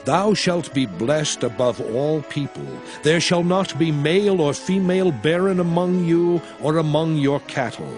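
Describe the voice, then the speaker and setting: Stern aged lawgiver, solemn, slow and commanding, slightly high voice. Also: male voice, lively intonation, music in the background. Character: stern aged lawgiver addressing his people. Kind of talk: dramatized scripture reading.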